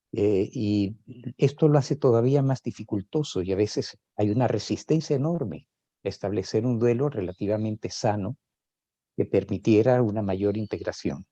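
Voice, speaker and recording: A man's voice: on the low side, dark tone, dry recording. An older man, a psychoanalyst, reflecting on his own life in a hesitant way, with many fillers and restarts. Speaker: psychoanalyst sharing personal experience. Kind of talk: reflective spoken testimony. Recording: dull lo-fi sound.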